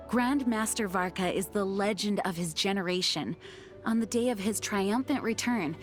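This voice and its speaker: A woman's voice: mid-range voice, natural voice. A woman playing a dutiful, earnest young knight in a video game, speaking formally and with composure. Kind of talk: video game character voice lines.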